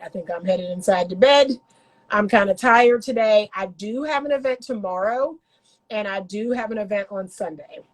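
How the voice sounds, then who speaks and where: A woman's voice: medium pitch, rough recording. A woman, congested from a cold, giving casual relationship-coaching commentary to her viewers, emphatic and repeating her points.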